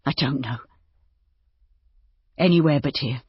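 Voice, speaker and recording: Narrator putting on a proud, embittered gentleman doctor, husky. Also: mid-range voice, measured pace, no echo, lo-fi sound. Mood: dejected. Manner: cross, self-important, despairing. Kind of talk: audiobook character dialogue.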